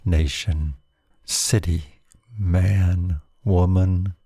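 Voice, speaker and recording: A man reading his own poem aloud as a scripted recitation, in a solemn, measured manner with deliberate phrasing. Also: medium pitch, gravelly, warm tone, no room sound, clean studio sound.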